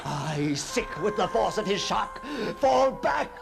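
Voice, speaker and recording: An actor playing a haunted, obsessive gentleman in a gothic radio monologue, halting and theatrical, repeating his words with a husky, gravelly voice. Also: sits high, very animated, over music, exaggerated voice. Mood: horrified, frantic. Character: haunted, obsessive gentleman confronting a ghostly double. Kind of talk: dramatic gothic monologue, radio drama acting.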